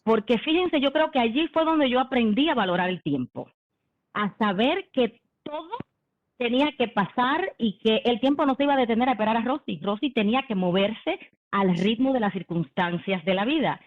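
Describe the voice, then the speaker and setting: A woman's voice: a little high, animated, normal speed. A woman in her forties, from the Dominican Republic, telling her own story in a leadership talk on a video call, with many "em" and "eh" fillers. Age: 40s. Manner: warm conversational, frequent "em" and "eh" fillers. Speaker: company executive giving a leadership talk. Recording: phone-like online meeting audio.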